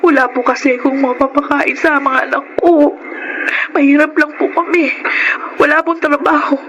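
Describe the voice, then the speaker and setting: A woman plays a poor widowed Filipino mother in an emotional radio-style drama. Her voice is high, humble and pleading, with an anxious deference. Clear background noise runs behind her. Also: fairly even intonation, normal speed, highs cut off, lightly acted. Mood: humble, grateful.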